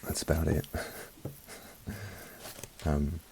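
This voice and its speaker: Low creaky guy, mixed british accent, murmuring a slow rambly asmr album review close to the mic. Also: completely flat delivery, a little hiss or hum. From South Africa. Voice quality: soft, partly whispered. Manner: unhurried, rambling, wry asides. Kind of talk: soft-spoken ASMR review.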